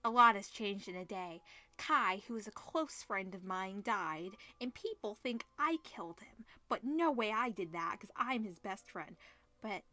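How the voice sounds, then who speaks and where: A woman's voice: mid-range voice, pushed and bright, dry recording. A woman reading visual novel text aloud as host, dropping in informal asides and reactions. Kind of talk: reading game text aloud with casual commentary.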